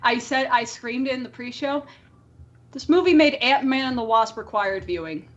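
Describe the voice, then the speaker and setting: A woman's voice: fairly high pitch, moderate pace, lo-fi sound. A woman co-hosting a podcast, discussing films casually in an emphatic, rambling way. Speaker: podcast co-host. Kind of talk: casual opinionated film discussion.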